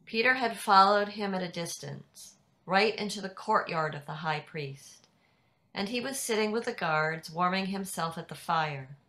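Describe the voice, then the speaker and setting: An older woman reading scripture narration in a measured, deliberate way over a video call. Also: medium pitch, sharp tone. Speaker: narrator in a church dramatic reading. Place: indoors at home on a video call. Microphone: video call computer microphone.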